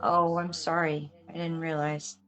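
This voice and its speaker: An actress reading an inquisitive, reserved but friendly police officer in a film script, casual and understated. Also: female voice, low-pitched, flat delivery, measured pace, fairly bright tone. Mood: apologetic, gentle. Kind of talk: table read of a film script.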